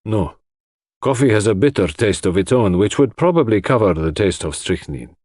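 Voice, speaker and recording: A man voicing an authoritative London toxicologist giving formal, measured evidence in an audiobook. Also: lowish voice, sing-song, darkish tone, dry recording, clean studio sound. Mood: measured, certain.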